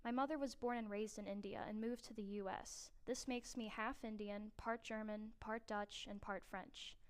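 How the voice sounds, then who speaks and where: A woman's voice: medium pitch, fairly even intonation, normal speed, clean tone. A young woman from New Orleans, a university student, reading her own prepared personal narration in an earnest way. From New Orleans, USA. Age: young adult.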